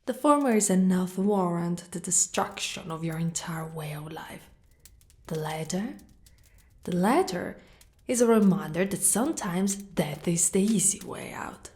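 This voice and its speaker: A woman voicing a proud, fiercely protective female dragon knight in an ASMR roleplay, speaking deliberately and often breathy or whispered. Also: on the low side, darkish tone. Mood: cold menace. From Italy.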